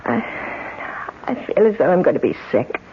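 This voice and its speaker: A woman's voice: low voice, sing-song, normal speed, a little hiss or hum. A woman playing a fragile, horrified young woman in a 1970s radio drama, on a muffled old broadcast. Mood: shaken, disbelieving.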